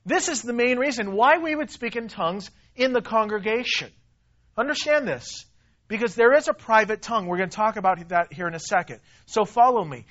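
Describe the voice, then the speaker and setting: A man preaching a sermon to a congregation, emphatic and repetitive, swinging between loud stresses and quiet asides. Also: sits high, animated, fairly quick, muffled recording. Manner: emphatic, repeats himself, rises and drops in volume. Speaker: pastor.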